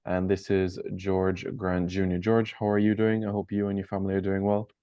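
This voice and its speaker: A man asking conversational interview questions in a measured, halting way. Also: sits low, level tone, warm tone, clear, resonant tone, no echo. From Jamaica. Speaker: sports interviewer. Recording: slightly dull lo-fi call audio.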